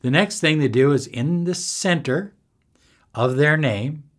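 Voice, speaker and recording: A man in his sixties giving instructional self-help talk to camera, deliberate and emphatic, pausing between short phrases. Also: a little high. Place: small indoor room with bookshelves.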